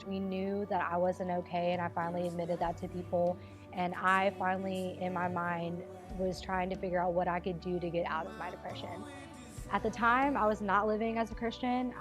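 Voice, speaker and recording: A twenty-year-old woman shares a personal testimony in a mid-pitched voice. She is candid and articulate, and music plays clearly behind her. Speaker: church member giving testimony.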